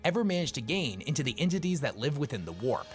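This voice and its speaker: A man giving scripted lore narration in a dramatic, measured way, his voice raspy as he gets over a cold. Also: medium pitch, very animated, soft background music.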